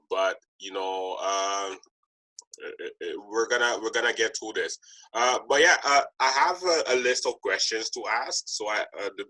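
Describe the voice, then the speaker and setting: A man with a low, bright-edged voice hosts a casual podcast interview. He speaks haltingly, circling back over his words as he feels his way to the point. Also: low-quality recording. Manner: hesitant, repetitive, halting delivery. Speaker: podcast host interviewing a guest.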